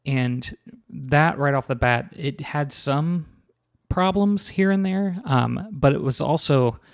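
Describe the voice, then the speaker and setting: A man with a hoarse, scratchy voice, casually walking through a software instrument as a reviewer, with many fillers and restarts. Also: on the high side, takes it slowly. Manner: relaxed, filler-heavy, restarts sentences. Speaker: sample library reviewer. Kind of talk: casual product walkthrough.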